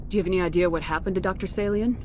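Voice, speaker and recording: An actress playing a human military commander in a video game, asking questions in a calm, measured way. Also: female voice, fairly low pitch, noisy background, lightly acted. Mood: curious. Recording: game score behind. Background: game music.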